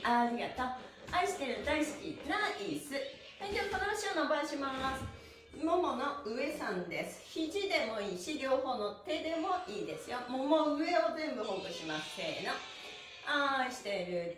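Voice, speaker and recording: A woman's voice: a little high, fairly bright tone. A middle-aged woman leading a stretching class, giving cheerful, encouraging cues and rhythmic chants, recorded from a camera a few metres away in a small room. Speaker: stretching instructor and host. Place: small bare-walled indoor studio. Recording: echoey room, distant microphone.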